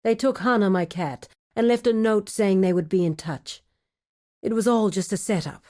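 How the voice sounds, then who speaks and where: An actress plays a shrewd woman of a thieves' guild in a fantasy video game. She speaks in measured, formal phrases at a medium pitch, articulate and guarded, with a dry wit underneath. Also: darkish tone, natural voice. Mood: regretful, worried. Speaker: video game quest-giver character. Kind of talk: scripted video game dialogue.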